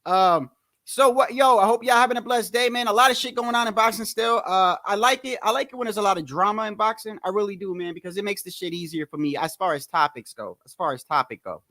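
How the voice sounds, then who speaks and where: High-voiced New Jersey man rambling on stream. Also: fast, pushed and bright, no echo. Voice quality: high-pitched voice. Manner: rambling, slangy, repeats himself. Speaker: boxing commentary livestream host. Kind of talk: casual livestream chat.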